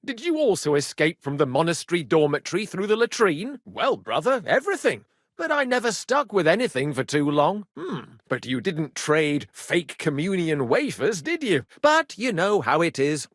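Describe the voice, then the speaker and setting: An actor playing a cheeky, good-humored medieval rogue and loyal younger brother in a video game, with colloquial, irreverent banter. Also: male voice, on the high side, big pitch swings, moderate pace, fairly bright tone, dry recording, clean studio sound. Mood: teasing, animated. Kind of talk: video game dialogue acting.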